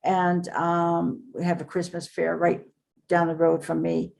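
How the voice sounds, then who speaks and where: An older woman speaks as the guest on an interview podcast, her voice sitting a little low. She tells her stories earnestly and in a rambling way, often pausing on fillers. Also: completely flat delivery, creaky, a little mellow. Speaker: author and guest. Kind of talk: casual interview talk.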